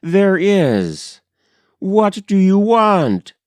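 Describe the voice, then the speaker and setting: Frightened rural wife in a poem, played by a man: headstrong, insistent, pleading, smooth-toned, dry recording. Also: high voice, very animated, drawn-out words, darkish tone, dry recording, voice pushed up, heavily acted. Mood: tense, calling out. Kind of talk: dramatic poetry reading.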